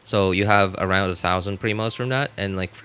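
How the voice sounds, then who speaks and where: A man chatting casually as a live game streamer, rambling and halting as he often repeats himself. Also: sits low, bright, forceful tone, clean tone.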